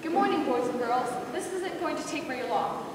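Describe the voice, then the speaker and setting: A woman playing a brisk, firm director of a children's Christmas pageant in an amateur readers theater skit, instructive and no-nonsense. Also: high-pitched, lively intonation. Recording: distant, very echoey hall with background noise.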